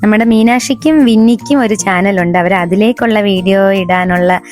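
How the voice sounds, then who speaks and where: A woman's voice: medium pitch, normal speed, darkish tone. A woman with a Kerala Malayali accent giving a chatty, casual vlog narration in a conversational way. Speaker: family vlogger. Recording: background music under voice. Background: music.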